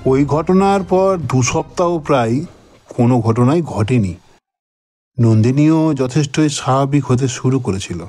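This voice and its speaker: A man narrating a scripted horror story in the first person, in a measured, dramatic manner. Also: medium pitch, warm tone, dry recording.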